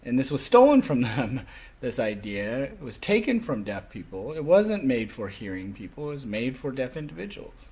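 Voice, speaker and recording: A man voicing a classroom lecture as an interpreter, speaking emphatically in halting phrases with stressed key words. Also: medium pitch, very animated, a little mellow, slightly rough.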